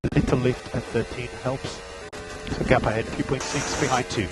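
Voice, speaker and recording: A man's voice: medium pitch, normal speed, poor audio. Man, race engineer, team radio, matter-of-fact updates, music underneath. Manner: steady stream of gaps, times and instructions.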